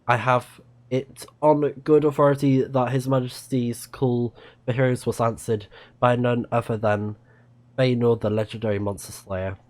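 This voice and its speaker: A man's voice: medium pitch, level tone, moderate pace, darkish tone. A man doing casual game commentary, musing to himself and reading game text aloud. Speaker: let's play streamer.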